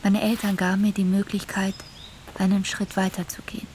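A woman's voice: mid-range voice, level tone, brisk, darkish tone, slight background noise, lightly acted. An actress playing a solitary, introspective woman in a restrained radio play monologue. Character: introspective solitary woman recalling memory and family.

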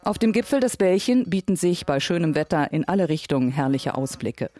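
A man reading scripted documentary voice-over narration in a measured, even manner. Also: a little high.

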